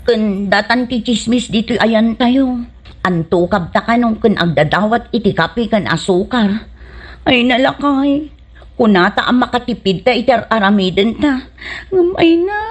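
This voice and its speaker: A bossy village mother played by an actress in a radio comedy drama. Her voice is high and she nags insistently, a shameless penny-pincher. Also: a little slow. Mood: complaining. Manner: nagging, insistent.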